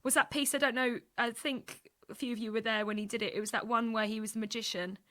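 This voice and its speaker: A woman's voice: fairly high pitch, fairly even intonation, fast, pushed and bright. A British woman reminiscing in casual conversation, with an effusive way of talking.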